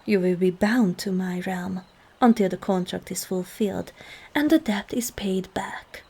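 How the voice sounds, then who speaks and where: A woman playing a seductive, regal demon queen in an ASMR roleplay, calm and commanding, with slow, formal speech that often drops into breathy whispers. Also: mid-range voice. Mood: persuasive, regal. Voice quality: breathy, husky.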